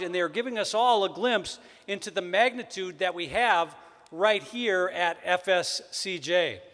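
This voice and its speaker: A man from Wisconsin with a Wisconsin accent, emceeing a college convocation with upbeat announcements and jokes. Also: fairly bright tone. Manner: upbeat, joking, booming sports-announcer intro. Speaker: event emcee. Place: large hall. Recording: long hall reverb.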